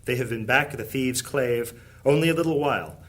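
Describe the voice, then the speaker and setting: A man's voice: mid-range voice, fairly quick. A man reading scripted narration for a fantasy audio drama. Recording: music under the voice. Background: music.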